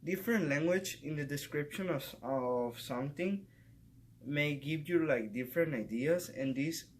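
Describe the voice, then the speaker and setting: A young man who grew up in Mexico, a native Spanish speaker with a Mexican Spanish accent, giving a measured, semi-scripted talk sprinkled with "like". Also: a little high, a little hiss or hum. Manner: measured, with filler "like". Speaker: student vlogger.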